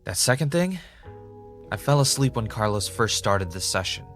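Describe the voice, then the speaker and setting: A man acting as an anxious, self-doubting podcast host in an audio drama, talking in a halting, confessional way. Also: on the low side, lively intonation, a bit bright, faint music behind. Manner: hesitant, self-questioning, halting.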